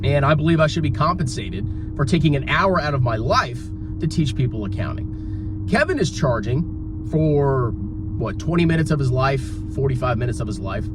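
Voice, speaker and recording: A man with a fairly high voice that swings widely in pitch delivers a blunt opinion piece as an online finance commentator. He is combative and emphatic, often asking questions and answering them himself. Clear background noise, like a car's rumble, runs under his voice. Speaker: personal finance YouTuber. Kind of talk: opinion monologue to viewers.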